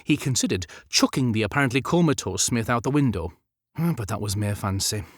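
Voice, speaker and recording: A man's voice: medium pitch, animated, fairly quick, no echo, studio quality. A man narrating a period romance audiobook as scripted fiction.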